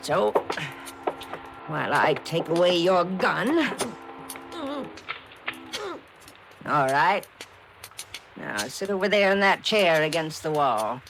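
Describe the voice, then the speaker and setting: A woman's voice: deep voice, animated, takes it slowly, creaky, pauses often, over music, exaggerated voice. Two-faced woman smuggler, swooping delivery. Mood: cold, threatening. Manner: mocking, syrupy, then coldly threatening. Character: ruthless woman smuggler with a sugary false sweetness. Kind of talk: 1950s radio crime drama acting. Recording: noisy old radio recording.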